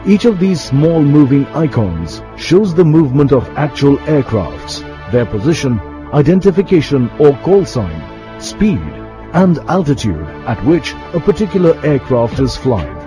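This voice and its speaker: A man's voice: a little high, sing-song, measured pace. A man delivering scripted documentary narration, as a television narrator. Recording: loud music bed under the voice.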